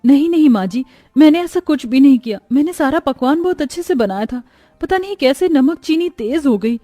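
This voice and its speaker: A woman's voice: sits high, expressive, fairly quick, mellow, no room sound, soft background music, voice pushed up. A woman voicing a dutiful, caring young daughter-in-law who speaks respectfully and pleadingly in a family story. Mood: earnest pleading.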